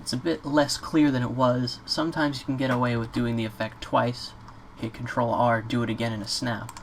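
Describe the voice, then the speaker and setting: A man giving a casual, off-the-cuff software tutorial in an informal, slightly hesitant way. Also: medium pitch, little pitch movement, brisk, over loud music. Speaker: tutorial presenter. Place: indoors at a computer. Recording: clear background noise, keyboard clicks.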